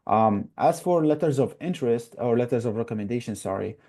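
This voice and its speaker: Earnest young man from Iraq, mellow voice. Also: mid-range voice, lively intonation, fairly quick. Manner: earnest, many "uh" and "like" fillers. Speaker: guest, newly matched resident. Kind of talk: interview answers.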